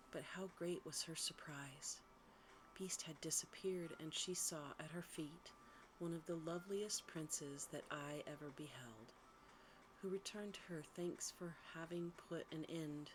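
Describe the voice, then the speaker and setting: A woman narrating a bedtime story in ASMR style, softly and often slipping into a whisper. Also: sits low, background noise.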